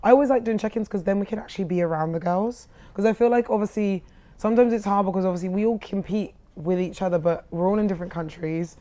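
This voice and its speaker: A young woman co-hosting a casual podcast chat, a little croaky, bubbly and laughing often. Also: mid-range voice, quick pace, mellow. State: croaky voice after a big event. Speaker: podcast co-host.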